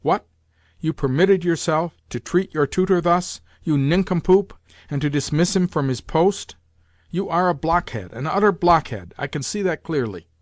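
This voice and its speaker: A man's voice: on the high side, expressive, normal speed, dry recording, studio quality, natural voice. A man reading aloud, voicing an imperious old grandmother who scolds and gives orders bluntly.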